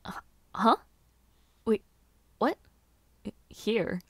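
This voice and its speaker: A woman performs a young highborn lady and would-be knight in an intimate fantasy audio drama. She speaks at an unhurried pace with shy hesitations and a playful streak, using genteel, old-fashioned phrasing. The sound is close and dry. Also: lively intonation, a bit bright, slightly rough, no echo, exaggerated voice. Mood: flustered, shy. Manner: bashful, halting stammers, giggly, formal old-fashioned wording. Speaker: roleplay voice actor. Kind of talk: intimate scripted audio roleplay.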